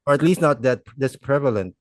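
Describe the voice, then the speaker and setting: A man with a medium-pitched voice and a very dry sound. He chats casually as a podcast co-host, wry and teasing in his delivery. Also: brisk, no echo.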